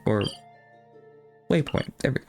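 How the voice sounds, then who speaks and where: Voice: slow pace, music in the background. A streamer giving easygoing, casual commentary while playing a game, musing aloud.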